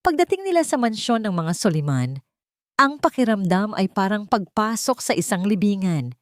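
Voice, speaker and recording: A woman's voice: medium pitch, sing-song, moderate pace, no room sound, clean studio sound. A woman with a Filipino accent narrating a dramatic story from a script. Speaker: audiobook narrator.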